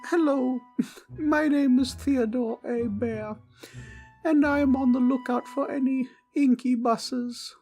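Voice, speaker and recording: A performer voicing a small, friendly teddy bear, naive and earnest, speaking gently and politely in an ASMR comfort roleplay. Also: high voice, steady tone, slow, soft background music. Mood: polite, curious. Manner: gentle, innocent, polite, chatty.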